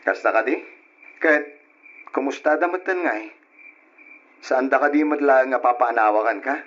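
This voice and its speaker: A weary, devoted husband and father played by a man in a radio drama. He sounds earnest and plaintive at a medium pitch, through a dull recording with faint noise. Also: normal speed, fairly bright tone, natural voice. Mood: questioning, uneasy. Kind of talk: old-style radio drama acting. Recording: muffled, hissy old radio drama recording.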